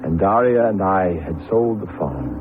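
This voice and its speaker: A man's voice: low voice, expressive, moderate pace. An actor playing an earnest, lonely young man who tells his story in a radio drama, on a tinny old recording. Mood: somber, reflective. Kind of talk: radio drama acting and narration. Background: music.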